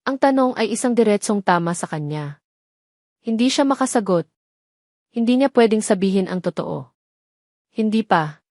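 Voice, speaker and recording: A female storyteller reads a scripted romantic drama. Her delivery is deliberate and emotive, with an ordinary mid-range pitch. The sound is dry, with very little room in it. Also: lively intonation, darkish tone, dry recording. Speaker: audio story narrator.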